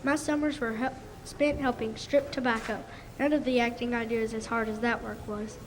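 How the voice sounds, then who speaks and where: A woman's voice: a little high, level tone, moderate pace, close to an everyday voice. A female performer playing an earnest male film star looking back on home in a scripted school radio show. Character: earnest male Hollywood actor recalling his Kentucky roots. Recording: echoey hall, faint noise.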